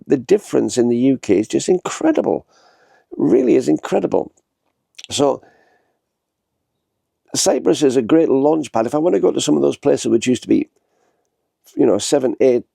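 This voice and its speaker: A man's voice: mid-range voice, gravelly, warm tone, dry recording, clean studio sound. A man, congested with a head cold, chatting to camera in a rambling, casual vlog with lots of fillers and restarts. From northern England. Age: 60s. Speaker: vlogger. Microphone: small handheld camera.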